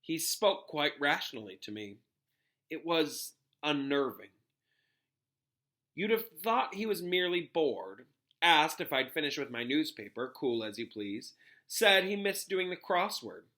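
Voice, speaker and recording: A man voicing a pompous, grave middle-aged government minister in a storybook, recounting things in a halting, dramatic way. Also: on the high side, very animated, bright, forceful tone, exaggerated voice. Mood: grave, unnerved.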